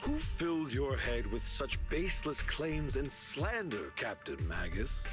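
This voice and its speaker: A man's voice: mid-range voice, big pitch swings, measured pace. A man playing an arrogant, scornful military general in a video game, haughty and berating, with music behind him. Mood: cold contempt. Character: arrogant, scornful military general, self-justifying older commander. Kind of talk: dramatic video game acting.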